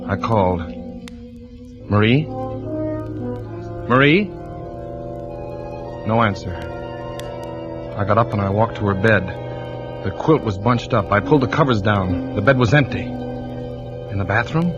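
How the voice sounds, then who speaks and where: A troubled married investigator in a 1940s radio suspense play. His low voice tells the story haltingly, like a confession. The recording is dull and old, with music underneath. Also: animated. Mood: tense, haunted.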